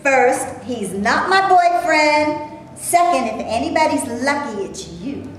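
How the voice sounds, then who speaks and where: A woman reading the part of a proud, sharp-tongued actress in a staged play reading, answering with cutting, exasperated retorts. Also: sits high, sing-song, takes it slowly, full character voice. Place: theater stage before a live audience. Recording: very echoey stage, faint room noise.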